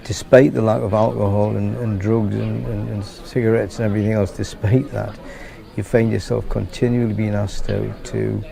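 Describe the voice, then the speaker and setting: A man speaking in a measured interview, pausing often with fillers. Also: on the low side, flat delivery, creaky, a crowd in the background. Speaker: writer and convert. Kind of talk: interview testimony.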